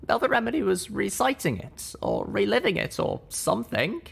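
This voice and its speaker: A man voicing an earnest, anxious young female unicorn pony who speaks in halting, hesitant bursts. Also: high-pitched, huge pitch swings, vocal fry, a bit bright, slightly rough, pitched above the performer's own voice, heavily acted. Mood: worried.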